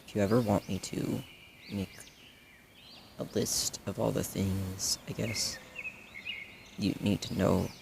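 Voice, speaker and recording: A man's voice: lowish voice, little pitch movement, slow, vocal fry, pauses often. A young man playing a supportive best friend in an audio roleplay, talking casually and hesitantly in rambling, trailing phrases, on a noisy recording. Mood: concerned, supportive. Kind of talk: audio roleplay addressed to the listener, casual improvised chat.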